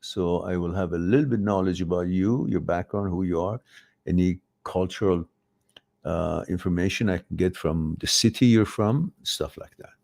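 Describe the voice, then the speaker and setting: A man's voice: deep voice, moderate pace, vocal fry, darkish tone. A middle-aged man, a Farsi speaker, hosting a live advice stream and reading out viewer questions, rambling and often correcting himself. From Iran. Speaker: host.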